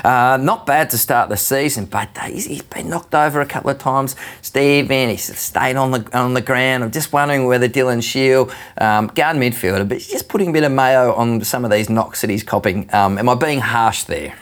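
A man's voice: medium pitch, normal speed, few pauses, a bit bright. An Australian man hosting a casual sports panel, putting questions to his mates in a cheeky way. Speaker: host.

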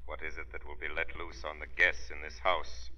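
A man voicing a mysterious, unseen male avenger who speaks slowly, taunting and sinister, in 1930s radio drama. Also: rumbling low, monotone, pushed and bright, full character voice. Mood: menacing. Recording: muffled old radio broadcast.

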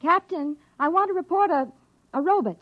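A prim, high-voiced, flustered housewife. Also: female voice, normal speed. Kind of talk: old-time radio drama acting. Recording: dull, lo-fi old radio recording.

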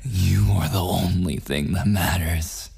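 An actor playing a possessive, obsessive and menacing young boyfriend in an intimate ASMR audio roleplay, slipping into breathy whispers. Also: male voice, low voice, slow pace, darkish tone, soft background music, heavily acted. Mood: desperate pleading.

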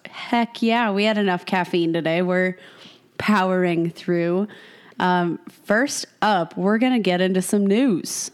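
A woman co-hosting a casual fantasy football podcast, her voice a little raspy from a cold, talking in a chatty, self-mocking way. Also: on the low side, unhurried. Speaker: podcast co-host.

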